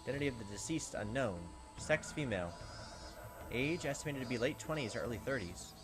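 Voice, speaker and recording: A blunt and callous police detective from a video game, played by a man. His middle-pitched voice moves around a lot, and light music runs underneath. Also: animated, a little fast, close to an everyday voice. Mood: businesslike. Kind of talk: visual novel character read-aloud.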